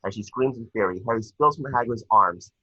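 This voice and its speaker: Male narrator for a table read: rough-edged, lower register, reading action lines with occasional stumbles, lo-fi home recording. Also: a little fast, bright, forceful tone. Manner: stumbles over words while reading. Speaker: narrator reading stage directions.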